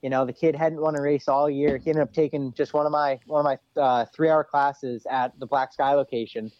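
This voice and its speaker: A man's voice: medium pitch, fairly even intonation, fairly quick, dry recording, muffled recording. A young man, an ATV racer, talking on a podcast in a laid-back, rambling way, full of "you know" and "dude". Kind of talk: casual podcast interview.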